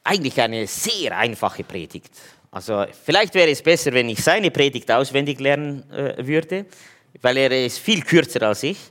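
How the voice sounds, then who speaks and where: A man's voice: medium pitch, a bit bright. A man giving an informal sermon in a conversational way, hesitating often with fillers. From German-speaking region. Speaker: preacher.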